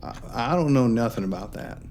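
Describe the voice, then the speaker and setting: A woman's voice: a bass voice, expressive, fairly quick, a little mellow, slight background noise. A woman playing a stammering nervous first mate. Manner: stammering, defensive. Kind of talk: audio drama acting.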